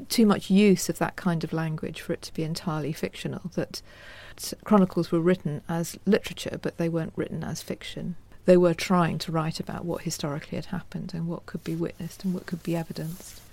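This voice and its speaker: A woman historian explaining her subject as an interview guest in a measured, scholarly manner. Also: on the low side, gravelly, darkish tone, no room sound.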